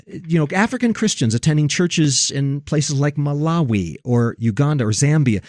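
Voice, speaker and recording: A man's voice: fairly high pitch, dry recording, clean studio sound. An American man hosting a religious talk radio show, speaking emphatically and rhetorically to his listeners.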